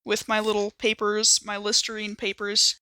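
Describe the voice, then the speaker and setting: A woman with a bright, forward voice, recorded very dry. She chats casually as a game player, playful and expressive, happy to tease. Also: mid-range voice, moderate pace, dry recording. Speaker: player on an actual play podcast. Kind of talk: casual chat.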